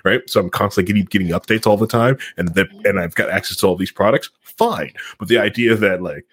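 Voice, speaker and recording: Low-pitched, slightly rough man chatting casually. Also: a bit bright. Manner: halting, repeats words and phrases. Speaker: podcast co-host. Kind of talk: casual podcast chat.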